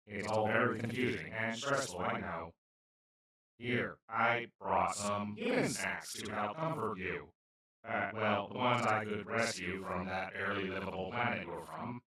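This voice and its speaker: A man playing a kind, awkward alien in a comedic audio roleplay, speaking to the listener in a gentle, earnest, apologetic and slightly formal, rambling way. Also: on the low side, unhurried. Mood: gentle, comforting. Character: kind, awkward, well-meaning male alien abductor. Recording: roomy, echoing, distant-sounding voice.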